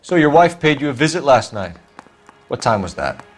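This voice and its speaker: An actor playing a clever young police detective in a game, with a clipped, formal, authoritative manner. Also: male voice, mid-range voice, very animated, normal speed, soft background music. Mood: accusing. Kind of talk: dramatic game dialogue.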